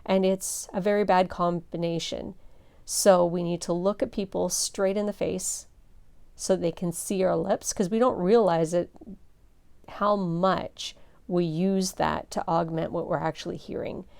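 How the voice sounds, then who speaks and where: A middle-aged woman pastor teaching a seminar in a soft, low voice, gentle and earnest, with measured pauses. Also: fairly even intonation, creaky, clean tone.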